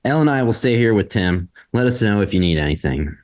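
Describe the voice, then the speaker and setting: A man reading the part of a steady, supportive friend at a table read. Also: lowish voice, quick pace, lightly acted. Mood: reassuring. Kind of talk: table read of a scripted story.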